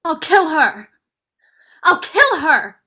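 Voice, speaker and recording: A woman's voice: sits high, sing-song, slow, sharp tone, exaggerated voice. A woman playing a hot-headed, tearful young woman in a staged play reading, with outraged outbursts. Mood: furious.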